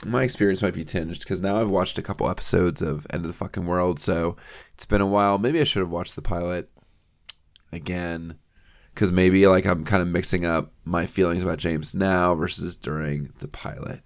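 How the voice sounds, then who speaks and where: A man's voice: low-pitched, steady tone, normal speed. A man co-hosting a casual podcast chat, trading wry, teasing banter. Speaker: podcast co-host.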